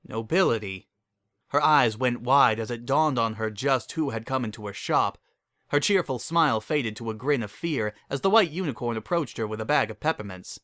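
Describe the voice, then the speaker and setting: A man's voice: mid-range voice, moderate pace, fairly bright tone, no room sound, clean studio sound. A man reading scripted dramatic story narration as a narrator.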